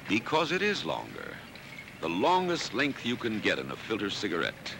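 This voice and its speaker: A man reading a 1960s television commercial voice-over as a measured, emphatic sales pitch, on a noisy vintage soundtrack. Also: creaky. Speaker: commercial announcer.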